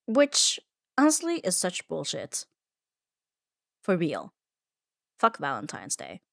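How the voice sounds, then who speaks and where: A woman's voice: fairly low pitch, wildly swooping pitch, takes it slowly, creaky, no echo, studio quality. A woman delivering a scripted comfort ASMR monologue in an earnest, reflective manner, leaning on short emphatic phrases. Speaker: ASMR audio performer.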